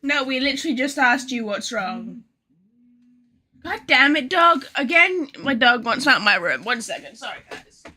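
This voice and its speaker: Woman game streamer, high and bright, informal, sweary, self-deprecating live chat. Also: lively intonation, moderate pace, slight background noise. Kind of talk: casual live gameplay chat, reading some lines aloud. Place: bedroom.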